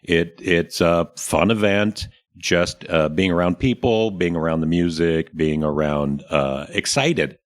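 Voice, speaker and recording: A man's voice: low voice, a little slow, few pauses. An American man hosting a podcast, talking in a casual conversational style. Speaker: podcast host.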